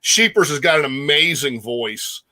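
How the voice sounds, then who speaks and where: Enthusiastic man with a bright, forceful voice. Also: a little high, expressive, no room sound. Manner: enthusiastic, halting, slangy. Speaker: guest co-host, metal fan. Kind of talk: casual music chat.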